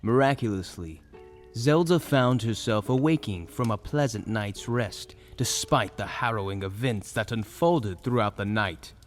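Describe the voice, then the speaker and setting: A man's voice: fairly low pitch, unhurried. A man narrating a fantasy story in a dramatic, scripted storytelling style. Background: soft music.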